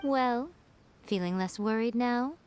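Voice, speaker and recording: Sly high-voiced fox-spirit lady. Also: heavy background noise. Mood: knowing, explaining. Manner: teasing, unhurried, confident. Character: sly, composed female fox-spirit shrine maiden, self-assured. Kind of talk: video game character acting. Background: soft background music.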